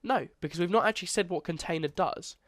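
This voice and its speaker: A young man with a stuffy, congested voice walks viewers through a beginner coding lesson in a loose, chatty way, often restarting his phrases. His voice sits a little high for a man, and the recording is dry and close with no room sound. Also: fast talker, a bit bright, no echo. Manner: casual, chatty, self-correcting. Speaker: coding tutor. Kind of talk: screen-recorded coding tutorial.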